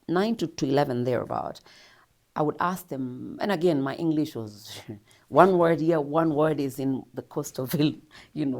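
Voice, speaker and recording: Woman, Kenyan accent, deep low voice, interview guest, personal story, reflective, earnest. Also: mellow. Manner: reflective, earnest, laughs now and then.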